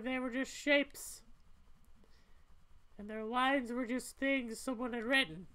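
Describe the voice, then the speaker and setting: A woman's voice: sits high, fairly bright tone. A woman voicing a weary, troubled young female cat in a story video game, talking in a hesitant, conversational way. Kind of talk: amateur voiced playthrough of a story game.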